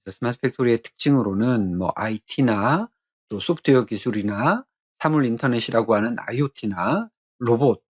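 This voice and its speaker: A male technical instructor lectures in a mid-pitched, husky voice. He explains in a deliberate, halting way, pausing often between short phrases. The recording sounds slightly dull and muffled.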